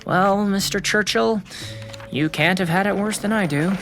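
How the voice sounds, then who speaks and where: A performer voicing a sullen, sarcastic, put-upon schoolboy who grumbles defensively in a family audio drama. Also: female voice, mid-range voice, normal speed, over loud music, close to an everyday voice, low-quality recording. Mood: grumbling. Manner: sulky, grumbling, sighing.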